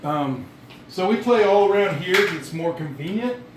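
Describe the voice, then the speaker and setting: Male, casual hands-on explainer, halting delivery, off mic in an echoey, noisy room. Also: high voice, animated, far from the mic. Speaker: musician demonstrating PA gear. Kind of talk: casual explanatory demonstration chat. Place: dining room at home, indoors. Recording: roomy, noisy home recording off mic.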